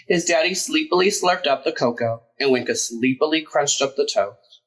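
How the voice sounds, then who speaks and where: A man reading a children's picture book aloud as a storyteller, in an expressive, deliberate way with emphasized words. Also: mid-range voice, poor audio. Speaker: storyteller, drag performer.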